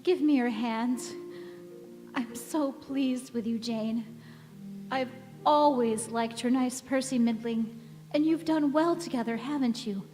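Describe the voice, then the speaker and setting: A woman acts out a gentle elderly wife and mother in a radio play. She is wistful and serenely weary, speaking slowly in a high voice that echoes through a hall. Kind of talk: stage radio-play acting. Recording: echoey hall, music behind.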